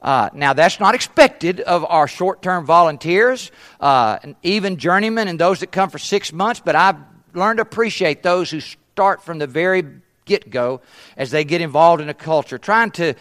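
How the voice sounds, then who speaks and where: Missionary guy preaching, slightly high voice, punchy then drops real quiet at the ends, asks the crowd questions. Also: expressive, moderate pace. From East Africa. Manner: emphatic, trails off to a hush at phrase ends. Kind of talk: sermon at a conference.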